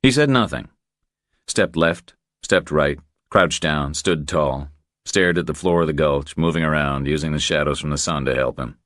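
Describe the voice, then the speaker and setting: A man with an exceptionally low, deep voice narrates a thriller audiobook. His reading is measured and deliberate, and the recording is dry and close. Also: a bit bright, dry recording. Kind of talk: scripted narration of crime thriller.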